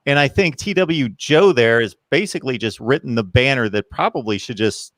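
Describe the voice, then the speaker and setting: A man hosting a casual sports chat, speaking plainly with halting repeated starts. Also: medium pitch, lively intonation, fairly bright tone, no room sound. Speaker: show host.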